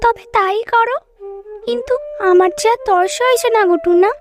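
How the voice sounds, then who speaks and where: A woman's voice: extremely high pitch, normal speed, over music. A woman voicing a young married bird wife in a children's cartoon, wistful and pleading, with a childlike manner. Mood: impatient pleading.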